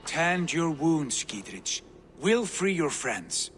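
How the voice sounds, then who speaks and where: An actor playing a fervent Viking warrior prince in a video game, speaking in grand, poetic phrases. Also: male voice, on the high side, very animated, takes it slowly, a bit bright, faint music behind, full character voice. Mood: commanding. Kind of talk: cinematic video game acting. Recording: game audio with horses and outdoor ambience.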